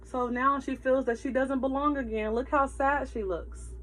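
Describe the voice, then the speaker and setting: A woman teacher reading a story aloud to children in an animated, emphatic way, with asides to her listeners. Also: high voice, moderate pace, a little hiss or hum, poor audio.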